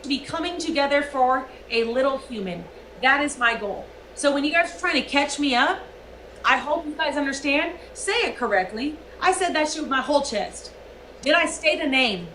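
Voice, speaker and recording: A woman's voice: sits high, expressive, moderate pace, bright, forceful tone, reverberant, slight background noise, rough recording. A woman livestreamer delivering a profane, emphatic rant.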